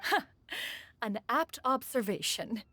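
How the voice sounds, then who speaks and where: A dignified ancient crane spirit in human form from a fantasy game, talking in stiff, archaic formality. Her voice sits fairly high and moves expressively. Faint game music lies under it. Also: sing-song, takes it slowly, sharp tone, dry recording, heavily acted. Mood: stately. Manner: archaic formal, calls herself "one".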